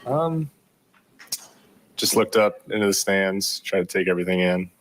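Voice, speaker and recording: A young man, a rookie pitcher, answering postgame questions in a modest, low-key way with many ums. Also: animated, normal speed, noisy background. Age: young adult. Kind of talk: postgame media interview.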